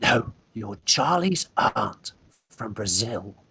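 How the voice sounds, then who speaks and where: An actor playing a scheming young Victorian gentleman in a radio farce, urgent, hesitant and bossy. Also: fairly low pitch, very animated, slow pace, creaky, slightly rough, exaggerated voice. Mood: anxious.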